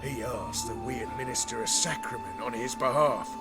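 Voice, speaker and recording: A man's voice: medium pitch, moderate pace, loud music behind the voice, close to an everyday voice. A man as a menacing, self-righteous guard. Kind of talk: video game cutscene acting.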